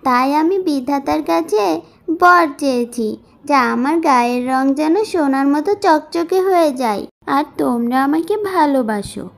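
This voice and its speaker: Woman playing a high-voiced, plaintive orphan girl. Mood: earnest. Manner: plaintive, earnest. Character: sorrowful, mistreated orphan young woman in a folk tale. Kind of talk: animated moral-story cartoon dubbing.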